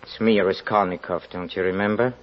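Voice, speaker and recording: An actor playing a prideful, poor young writer racked by guilt, with halting, stammering speech, in old-time radio drama style. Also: male voice, low-pitched, steady tone, close to an everyday voice. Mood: intense, brooding. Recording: dull, noisy old radio recording.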